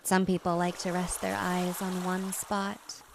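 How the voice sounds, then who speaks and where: A woman hypnotist gently narrating a guided relaxation, soothing and unhurried, with long pauses between phrases. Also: lowish voice, completely flat delivery, creaky, noisy background.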